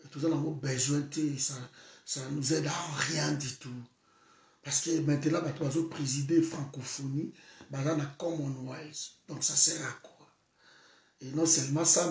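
A man in his thirties with a Congolese French accent, a native Lingala speaker, delivering an impassioned political monologue to camera from a sofa and mixing French with Lingala. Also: on the high side. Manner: switches between French and Lingala, grows heated and shouting. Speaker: political vlogger. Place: indoor room, seated on a sofa. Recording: echoey room, voice a bit far from the mic.

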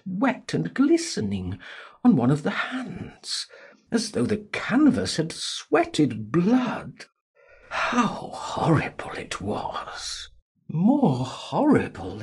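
A man narrating a classic novel as a dramatic audiobook, theatrical and deliberate in his delivery. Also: fairly high pitch, a little mellow, breathy edge.

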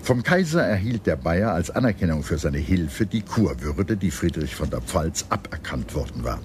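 A man reading scripted historical documentary narration in a measured, declarative storytelling manner. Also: low voice, big pitch swings. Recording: clear background noise and ambience.

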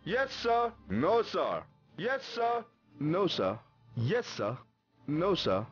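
A man's voice: sits high, sing-song, drawn-out words, highs cut off, faint music behind, voice pushed up, heavily acted. A man voicing earnest, deferential villagers in a mosque crowd for a children's cartoon. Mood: uncertain.

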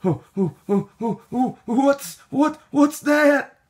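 Animated man reading a children's story, unhurried. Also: very high-pitched. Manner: expressive, drawn-out words and sing-song repetition. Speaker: storyteller reading a picture book aloud. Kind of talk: animated children's read-aloud.

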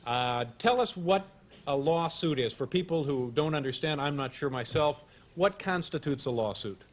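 Wry mock-serious talk show host. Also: male voice, fairly high pitch, lively intonation, normal speed. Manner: dry, mock-serious joking. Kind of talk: late-night comedy interview. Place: TV studio stage before an audience. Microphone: desk microphone.

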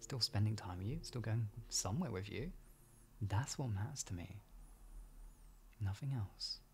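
A man playing a protective, affectionate boyfriend in an improvised ASMR roleplay, speaking in a husky, soft, reassuring and intimate way. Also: lowish voice, a little slow, a little hiss or hum. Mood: tender, reassuring. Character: protective, affectionate boyfriend comforting his insecure partner.

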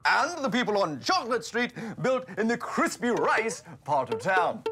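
A man playing a jolly, excitable TV chef, presenting to children in a playful, theatrical, exclamatory way. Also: extremely high pitch, moderate pace, over music. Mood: cheerful. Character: excitable, jolly male TV cooking-show chef. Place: kitchen set, indoors.

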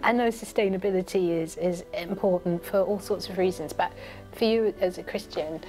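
A woman's voice: lowish voice, normal speed, a little mellow. A woman in her forties, a TV presenter, eagerly interviewing craftspeople in a workshop. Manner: eager and encouraging. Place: glass workshop. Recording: faint music bed underneath.

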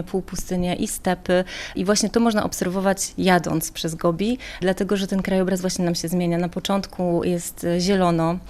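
A woman's voice: on the low side, a little mellow. A woman talking conversationally as a travel guide in a radio interview, chatty and explanatory in long, rambling sentences.

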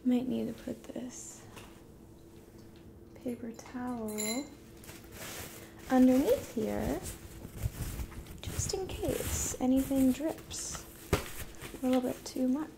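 A woman in her twenties doing a soft-spoken ASMR roleplay to the listener, gentle and hushed, drifting into whispers. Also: high voice, slow, gravelly, long pauses, noisy background. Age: 20s. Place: dim indoor room at a table.

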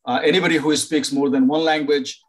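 An older man from Nepal, a professor advising international students over a video call, pausing often to find words. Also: a little high, steady tone. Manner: halting, many fillers and restarts. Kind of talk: informal online presentation.

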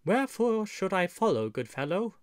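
Guy doing a gentle soft young fancy stranger, super polite, slow and menacing, storybook voice, dry. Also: medium pitch, no echo, close to an everyday voice. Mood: gently mocking. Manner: languid, courteous, archaic speech. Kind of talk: audiobook storybook character.

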